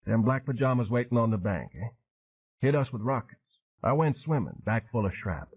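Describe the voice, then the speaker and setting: A man voicing a gruff male Vietnam veteran boatman, speaking in clipped, broken phrases on a tinny phone-like recording. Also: medium pitch, animated, normal speed, pitched above the performer's own voice. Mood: terse, knowing. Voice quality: clipped broken grammar, laconic.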